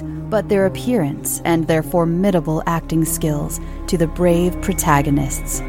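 Casting: female promo announcer, mid-pitched for scripted ad copy, music clearly behind her. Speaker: advertisement announcer.

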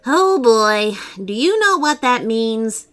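A woman's voice: a little high, expressive, slow, a bit bright, soft background music, pitched above the performer's own voice. Woman acting a jittery, melodramatic robot inventor. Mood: uneasy, amazed. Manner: gasping, pleading, dramatic. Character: nervous, excitable robot inventor, self-pitying, apologetic, male.

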